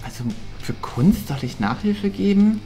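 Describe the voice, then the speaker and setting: A man's voice: high voice, animated, warm tone, soft background music. A man playing a lazy, greedy art teacher who whines and insists on a raise in a school staff room. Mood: whiny. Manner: whiny, insistent, halting.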